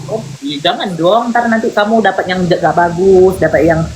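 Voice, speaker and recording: A woman of Malay background hosting a livestream, chatting and teasing her guest in quick bursts. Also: fairly low pitch, darkish tone. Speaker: livestream host. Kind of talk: casual teasing chat on a livestream battle. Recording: noisy livestream audio.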